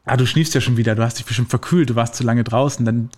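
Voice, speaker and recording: A man explaining health myths in a casual podcast conversation, relaxed and full of fillers, using everyday examples. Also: medium pitch, fast.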